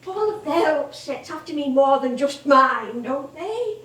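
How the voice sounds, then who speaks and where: Woman to play elderly Northern English stage comedienne, homely and wistful, unhurried, heard far off in an echoey theatre. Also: high voice, expressive, a bit bright. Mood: earnest, wistful. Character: old, warm, chuckling music-hall comedienne. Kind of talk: stage monologue and dialogue acting. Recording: distant mic in echoey theatre.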